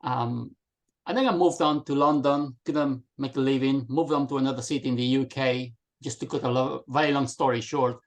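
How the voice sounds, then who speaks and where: A man's voice: medium pitch, steady tone, moderate pace. A middle-aged man from Spain, a guest telling his story on a video call. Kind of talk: casual video call chat.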